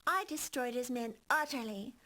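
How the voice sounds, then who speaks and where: A woman's voice: fairly high pitch, moderate pace, pushed and bright. A woman playing a sadistic, sneering game villainess who taunts in a theatrical manner. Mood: taunting. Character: sadistic, mocking villainess with a giddy cackle. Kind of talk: theatrical 1990s game voice acting.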